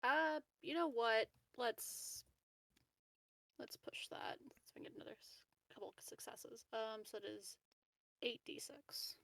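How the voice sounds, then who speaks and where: Creaky-voiced woman playing a tabletop game. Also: on the high side, slow, fairly bright tone, no room sound, in a whisper. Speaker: player. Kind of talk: casual game chat.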